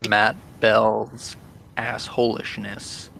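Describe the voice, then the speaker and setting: A man in a casual online group chat, relaying viewer questions in a halting way that repeats phrases. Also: lowish voice, level tone, bright, forceful tone. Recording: clear background noise on online call.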